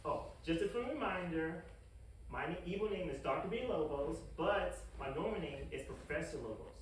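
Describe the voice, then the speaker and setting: An actor playing a bumbling, grandiose mad scientist villain in an animated comedy table read, stammering and indignant. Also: medium pitch, fairly quick, a little hiss or hum, lightly acted. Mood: self-important. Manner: flustered, stammering, self-important. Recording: echoey room, distant microphone.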